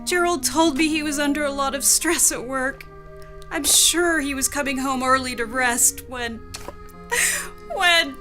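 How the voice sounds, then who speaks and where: A woman's voice: high voice, measured pace, bright, forceful tone, soft background music. An actress playing a newly widowed wife in an audio drama, demure, genteel and composed in her grief. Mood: tearful.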